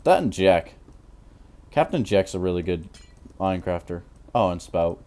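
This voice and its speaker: Young man, deep smooth voice, tired, rambling, hesitant, lots of fillers. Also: big pitch swings, measured pace, dark tone. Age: 20s. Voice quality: naturally deep voice. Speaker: Minecraft YouTuber. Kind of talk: rambling gameplay commentary.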